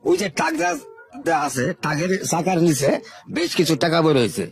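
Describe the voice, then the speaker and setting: An older man. He tells his life story to an interviewer at a brisk pace and often repeats his words. Faint music plays under his voice. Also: fairly high pitch, huge pitch swings. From Bangladesh, Sherpur. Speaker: interview guest.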